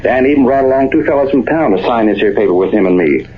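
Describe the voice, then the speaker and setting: Lively, tinny man playing a trusting old-time western miner. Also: medium pitch, quick pace, natural voice. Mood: puzzled, uneasy. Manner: plain, folksy frontier drawl. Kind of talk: 1940s radio western acting. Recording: tinny, noisy old-time radio recording.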